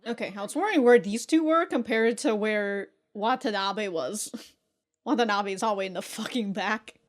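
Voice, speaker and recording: A woman giving casual, excitable reaction commentary as host, swearing freely and often breaking into laughter. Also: medium pitch, normal speed.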